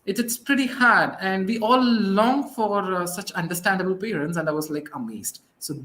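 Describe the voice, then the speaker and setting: A man's voice: sits high, moderate pace, sharp tone. Man, South Indian accent, Tamil native speaker, interview guest, hesitant, filler-heavy. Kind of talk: interview answers.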